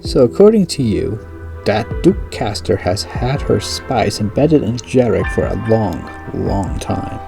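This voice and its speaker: A man's voice: on the low side, very animated, measured pace, vocal fry, heavily acted. A man voicing a suspicious, commanding female leader who questions and threatens, over background music. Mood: skeptical.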